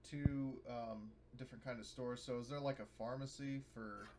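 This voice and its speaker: A man's voice: mid-range voice, steady tone, normal speed, a little mellow. Casting: male tabletop player, casual and hesitant, mid-range voice, slight noise behind. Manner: hesitant, piecing questions together. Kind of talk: casual game chat.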